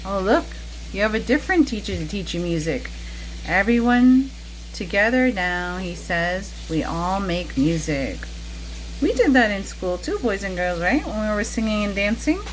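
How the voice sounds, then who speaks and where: A woman's voice: medium pitch, expressive, moderate pace, slight background noise, poor audio. A woman teacher reading a picture book aloud to young children, chatting to them directly with questions and asides. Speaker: preschool teacher.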